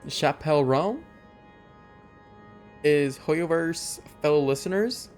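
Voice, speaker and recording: A man's voice: a little high, expressive, slow pace, soft background music. A man giving casual live commentary as a game streamer, reacting to a story as it plays.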